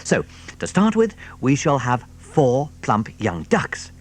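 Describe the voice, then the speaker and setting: A man reading a storybook, voicing a proud, sly male fox in a high, gleeful, theatrical voice. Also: sing-song, slow. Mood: cautious. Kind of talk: audiobook character voice.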